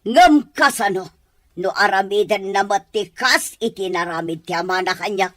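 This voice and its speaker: A woman's voice: medium pitch, normal speed, fairly bright tone, no room sound, studio quality, close to an everyday voice. A woman with a Filipino accent acting a bitter, disapproving middle-aged mother in an amateur audio drama. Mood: accusing.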